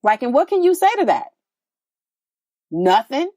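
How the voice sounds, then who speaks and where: A woman's voice: high-pitched, very animated, unhurried, mellow, dry recording, studio quality. A middle-aged woman from California who hosts a podcast and talks in loose, rambling chat full of "like"s and restarts, laughing often. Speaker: podcast host, relationship coach. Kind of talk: casual conversation.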